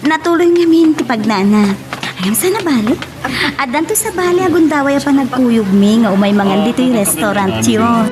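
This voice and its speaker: A woman's voice: on the high side, normal speed, natural voice. A woman playing a young, affectionate sweetheart in an Ilocano radio drama, with a Filipino accent. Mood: apologetic, chatty.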